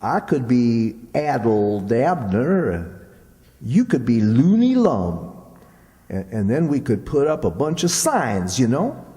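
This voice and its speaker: A man playing an excitable, gullible shopkeeper in an old-time radio comedy, with a folksy, complaining drawl. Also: mid-range voice, slow. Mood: eager. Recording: echoey hall, long reverb.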